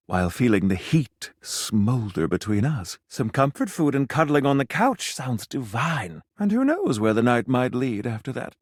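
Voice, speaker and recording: A man's voice: medium pitch, dry recording, studio quality. An actor playing a snobbish, theatrical food critic in a video game, a man with pompous, precise, refined diction. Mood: flirtatious, charmed. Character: flamboyant, snobbish, easily offended male food critic, grandly romantic. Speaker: restaurant critic.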